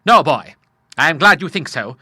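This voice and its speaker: An old Admiral played by a male reader from an audiobook, bluff, boisterous and proudly fatherly. The voice is very forceful and sharp, swinging widely in pitch, and it is recorded close and dry. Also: lively intonation, moderate pace, husky, dry recording, full character voice. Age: older. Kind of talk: audiobook character voice.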